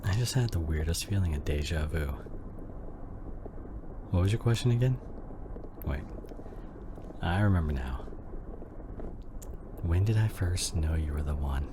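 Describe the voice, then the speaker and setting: A very low-voiced man playing a husband speaks slowly and intimately to his injured wife in an emotional ASMR drama. He is tender and worried, breathy and often close to a whisper, over clearly audible noise. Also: lively intonation. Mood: tender, wistful.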